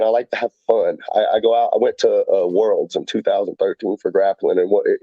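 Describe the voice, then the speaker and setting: Guy rambling all hyped on a podcast, swears a lot, kinda low voice, dull muffled audio. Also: dry recording. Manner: rambling, excitable, swears freely, acts out quotes. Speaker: guest fighter. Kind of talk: casual podcast chat.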